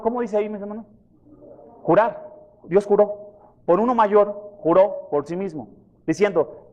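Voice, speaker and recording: A pastor preaching a sermon, questioning his congregation and retelling scripture in a lively way. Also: sits high. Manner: questions the congregation, repeats references for them. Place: church.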